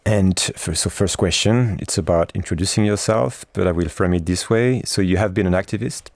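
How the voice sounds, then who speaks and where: Deep-voiced male podcast host. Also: brisk, darkish tone, dry recording, clean studio sound. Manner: framing questions at length, with fillers. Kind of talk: podcast interview.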